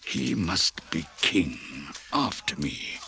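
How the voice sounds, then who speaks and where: An actor playing a noble, dying old king in a radio drama, speaking frailly and haltingly. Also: sits low, big pitch swings, vocal fry, bright, forceful tone, slight background noise, full character voice. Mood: dying, tender.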